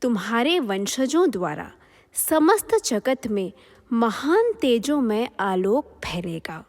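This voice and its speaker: A woman's voice: on the high side, sing-song, slow, a little mellow. Woman as benevolent goddess in long reverb. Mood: pleased, blessing. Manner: stately, solemn blessing. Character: benevolent mother goddess blessing her royal devotee. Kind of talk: mythological audio drama acting. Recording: long divine-sounding reverb.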